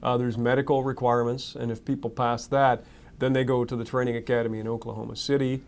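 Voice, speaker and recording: Male spokesman in interview, creaky voice, flat intonation. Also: medium pitch, fast talker. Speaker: agency spokesman. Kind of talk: interview answers.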